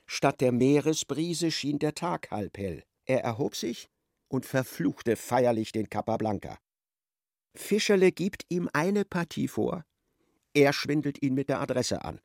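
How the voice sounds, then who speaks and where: A man narrating a radio play as literary storyteller, in a measured and wry manner. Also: mid-range voice, creaky, a little mellow, no room sound, studio quality.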